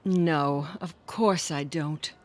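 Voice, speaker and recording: A woman's voice: low voice, takes it slowly. An actress playing an anxious, doting older mother in a radio drama, with a plaintive delivery. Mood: worried, reluctant. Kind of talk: old-time radio drama acting.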